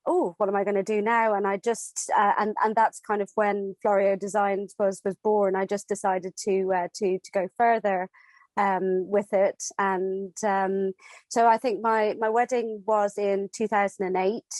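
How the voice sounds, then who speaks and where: A woman in her forties from Dublin, speaking English with no Irish accent, telling her story as a podcast guest, halting and full of fillers. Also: mid-range voice, little pitch movement, darkish tone, no echo. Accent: none; anglicised English. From Ireland, Dublin. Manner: hesitant, many fillers and restarts. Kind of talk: casual interview chat.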